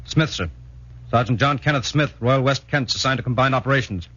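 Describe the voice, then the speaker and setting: A dull old radio recording features an army sergeant. He is earnest, formal and soldierly, at a middling pitch. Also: fairly quick, pushed and bright, breathy edge. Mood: crisp reporting. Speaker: actor in a wartime radio drama. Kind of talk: 1940s radio acting.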